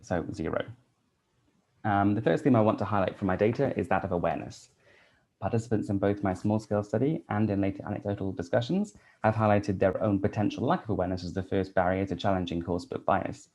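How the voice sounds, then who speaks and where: A man in his thirties from Wales, a teacher and researcher giving a prepared conference talk in a measured, read-out way with soft "um" fillers. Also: fairly low pitch.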